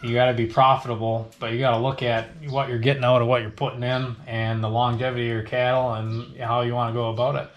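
A man's voice: mid-range voice, flat delivery, fast, hardly any pauses, lo-fi sound. A young man, a dairy farmer, talking casually and summing things up in a small indoor work room. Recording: clear background noise with clicks.

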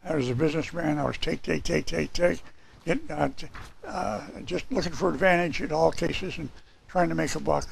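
Hoarse older preacher with a gravelly voice. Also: male voice, on the high side, fairly even intonation, normal speed, slight background noise. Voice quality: raspy, gravelly. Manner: gesturing, reading scripture aloud from papers. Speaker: pastor. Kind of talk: sermon. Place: small church hall with red curtains.

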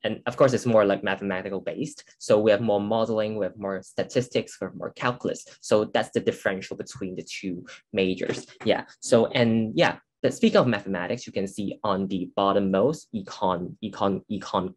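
A man's voice: lowish voice, normal speed, hardly any pauses. A young male university student from Hong Kong, giving a casual talk on a video call about his degree, with frequent restarts and fillers. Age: young adult. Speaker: student speaker. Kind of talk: admissions info session talk. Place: indoors at home, on a video call.

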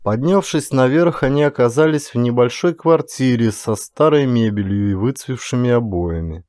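Mellow male audiobook narrator. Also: medium pitch, normal speed, dry recording. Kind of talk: dramatic scripted narration.

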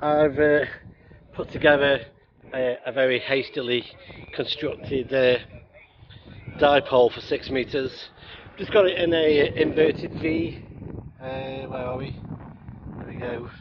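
A man's voice: mid-range voice, animated, takes it slowly, long pauses, dull audio, noisy background. A middle-aged man chatting casually and a little hesitantly outdoors, as an amateur radio operator making a vlog. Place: outdoors on open heathland by a road.

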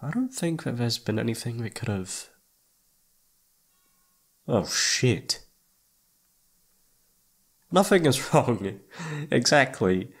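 A man's voice: medium pitch, sing-song, slow pace, long pauses, exaggerated voice. A man playing a shy, flustered young incubus roommate in an ASMR roleplay, hesitant and awkward, often trailing off. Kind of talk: ASMR roleplay audio drama.

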